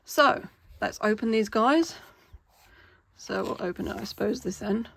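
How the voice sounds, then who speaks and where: A woman, hoarse from a cold, chatting casually as she unboxes toys. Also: mid-range voice, measured pace, background noise. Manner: chatty, with frequent "um" fillers. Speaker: toy unboxing channel host.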